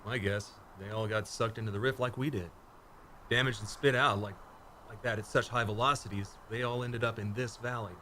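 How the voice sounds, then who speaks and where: Worn-out male space commander, acted by a man, sci-fi radio drama: terse, wary, tense mid-pitched voice over faint ambience. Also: steady tone, brisk, sharp tone, a little hiss or hum. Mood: grim. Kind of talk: sci-fi radio play acting.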